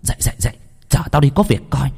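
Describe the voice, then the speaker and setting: Man putting on a shrill, bossy gangster woman. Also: very animated, fast talker, dark tone, slightly rough, in a large hall, exaggerated voice. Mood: bossy, scolding. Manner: scolding, cursing, snappish. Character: cruel middle-aged female gangster babysitter, foul-mouthed, bossy. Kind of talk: acted audio story dialogue.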